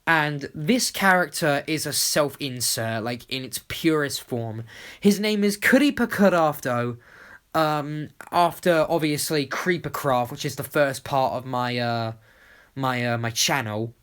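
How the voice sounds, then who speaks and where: Casual YouTuber, mid-pitched voice, enthusiastic and rambling, informal voice-over delivery. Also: measured pace, a bit bright, clear, resonant tone. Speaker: YouTube channel creator.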